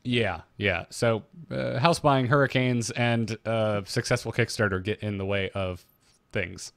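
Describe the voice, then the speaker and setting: A man's voice: fairly low pitch, measured pace, creaky, fairly bright tone, dry recording. A man co-hosting a podcast in an informal, casual chat style, with the odd mild swear. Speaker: podcast co-host.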